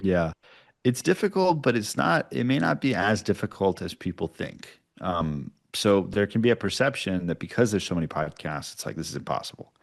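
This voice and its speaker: A man's voice: sits low, big pitch swings, normal speed, creaky. A man giving casual, explanatory interview answers, often checking in with short tags like "right?". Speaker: podcast producer, interview guest.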